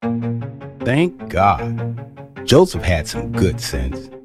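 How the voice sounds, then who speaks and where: A man's voice: lowish voice, wildly swooping pitch, extremely slow, music in the background. A man narrating a scripted drama as a storyteller, adding wry commentary.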